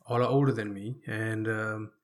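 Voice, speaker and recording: A man from Fiji with a fairly low voice shares personal stories as an interview guest. He talks conversationally, often pausing and drawing out words as he gathers his thoughts. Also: steady tone. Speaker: interview guest telling his life story.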